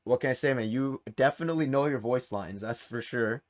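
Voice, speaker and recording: A man's voice: mid-range voice, fairly quick. A man hosting a gaming quiz in a casual, chatty, encouraging way. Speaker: quiz show host.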